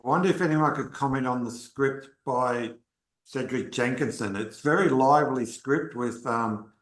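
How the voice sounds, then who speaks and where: A man speaking casually and haltingly as a participant on a roomy-sounding online call. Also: mid-range voice. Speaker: webinar participant. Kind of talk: casual question from the audience.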